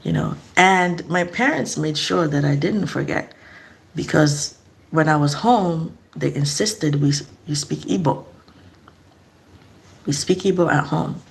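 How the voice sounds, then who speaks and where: A woman's voice: low-pitched, unhurried, creaky, low-quality recording. A middle-aged woman from eastern Nigeria, speaking in a casual, earnest vlog monologue and asking reflective questions. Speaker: vlogger.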